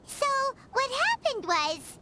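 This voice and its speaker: A woman voicing a tiny, chirpy, childlike sprite companion in a video game, quick to take offence. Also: very high voice, wildly swooping pitch, heavy background noise, heavily acted. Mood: chirpy, curious. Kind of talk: video game voice acting, squeaky character voice. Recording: loud game music behind.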